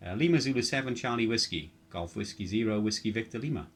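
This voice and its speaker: A man working as an amateur radio operator, giving casual technical details in a halting, matter-of-fact way. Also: fairly low pitch, lively intonation, very noisy. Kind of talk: casual technical chat over ham radio.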